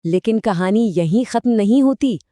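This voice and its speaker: A woman narrates a scripted explainer in a mid-pitched voice. She hands off between sections with short teaser lines. The sound is dry, with no room echo. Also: moderate pace, dark tone.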